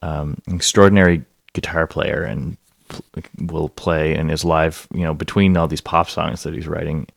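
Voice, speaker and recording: A man's voice: rumbling low, steady tone, moderate pace, gravelly, dry recording, studio quality. A man hosting a radio music show, chatting casually between songs in an easygoing, hesitant way. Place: Prince Edward Island.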